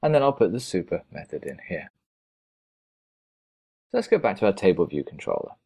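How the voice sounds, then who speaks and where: Low-voiced male coding instructor, lively intonation. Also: a little fast, creaky, slightly rough. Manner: methodical, explanatory. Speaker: programming instructor. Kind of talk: screencast tutorial narration, step by step.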